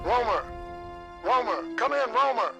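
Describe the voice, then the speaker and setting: A man's voice: very high-pitched, very animated, unhurried, music in the background, exaggerated voice. A man playing a pompous resistance leader who gives orders in formal, theatrical phrasing in a video game. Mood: urgent.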